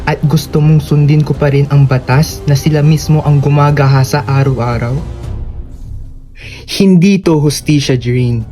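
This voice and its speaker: A man's voice: mid-range voice, warm tone, lightly acted. A man acting a defiant, grieving son exposing his corrupt father in a solemn, declamatory Filipino radio drama style.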